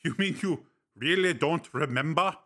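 A man's voice: fairly high pitch, normal speed, a bit bright, pitched above the performer's own voice. A man reading aloud, voicing a dry, mocking older male companion in a storybook. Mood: teasing. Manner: wry, teasing.

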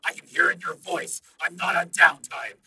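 A man voicing the synthesized voice of a male cop's mind trapped in a computer, gravelly and strained, firing insistent accusing questions. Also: high-pitched, moderate pace, noisy background, pitched above the performer's own voice.

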